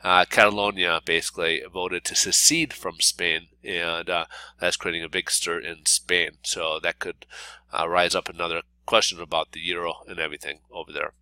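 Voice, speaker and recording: A man's voice: sits low, fairly even intonation, normal speed, bright, forceful tone, clean tone, no room sound, poor audio. Stuffy-nosed guy rambling through a daily market update, lots of uhs, dry mic, no room sound. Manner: casual, rambling, many fillers and restarts. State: congested from a cold. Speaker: mortgage planner giving a daily market report. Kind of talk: unscripted solo market commentary over slides.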